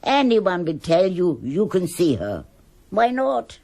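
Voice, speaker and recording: Radio drama: sly, disdainful older female gossip, very low pitch, swooping delivery. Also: wildly swooping pitch, takes it slowly, gravelly, warm tone, full character voice. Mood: scornful, sly. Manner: scornful, gossipy.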